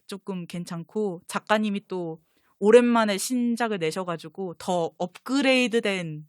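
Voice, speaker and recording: A woman's voice: mid-range voice, measured pace, a little mellow, no echo, studio quality. A young adult woman guest in a casual chat, explaining her favorites at length in an enthusiastic, giggly manner. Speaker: guest on a fan talk show.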